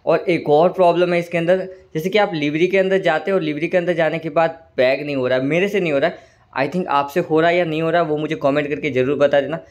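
Gaming host, male, somewhat high voice, a little hoarse, casual buddy-style play-by-play. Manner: chatty, keeps calling viewers "brother". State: slightly hoarse throat. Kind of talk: casual gameplay commentary.

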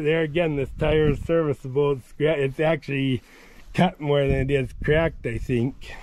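A man's voice: a little high, a little mellow. A man giving casual walkaround commentary outdoors at a farm auction, plain-spoken, thinking aloud and often restarting his sentences. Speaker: tractor vlogger touring an auction. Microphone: camera carried at hand, wide angle.

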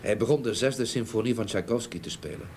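An actor playing a grieving, haunted older gentleman in a Dutch radio play, speaking in a halting, brooding way. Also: fairly low pitch, close to an everyday voice. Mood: reminiscing. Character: grieving, haunted older gentleman seeking revenge for murdered niece. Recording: old radio play recording, background noise.

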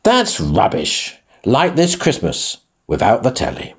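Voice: medium pitch, huge pitch swings, unhurried, a little hiss or hum. A performer voicing a grumpy, grumbling male grizzly bear in a radio pantomime. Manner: grumbling, sarcastic.

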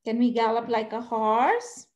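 A middle-aged woman teaching an online preschool class on a video call, animated and coaxing, singing out animal sounds for the children. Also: on the high side, unhurried. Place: classroom-like room with posters, indoors.

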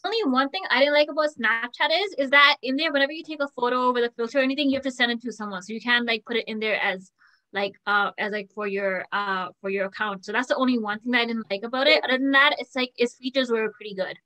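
A woman speaking casually on a video call panel, quick and full of "like" and "uh". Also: a little high, pushed and bright. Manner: quick, uses fillers like "like" and "uh".

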